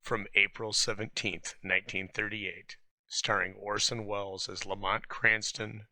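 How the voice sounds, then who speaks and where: A low male presenter's voice, extremely crisp-edged and bright, with a creaky rasp. He reads a scripted introduction slowly and theatrically, recorded dry and close. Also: level tone, no echo, poor audio. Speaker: show presenter.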